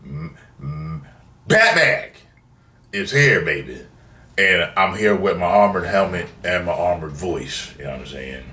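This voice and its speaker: A man chats casually to viewers in a roomy space with faint noise. His low voice swings up and down in a lively way, playful and full of slangy exclamations. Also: measured pace, recorded from a distance. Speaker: video host. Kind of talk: casual unboxing chat.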